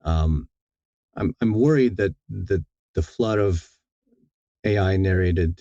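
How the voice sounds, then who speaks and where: A man giving thoughtful interview answers in a halting, deliberate way, often repeating words as he thinks. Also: low-pitched, dark tone, dry recording, lo-fi sound. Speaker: audiobook narrator, interview guest.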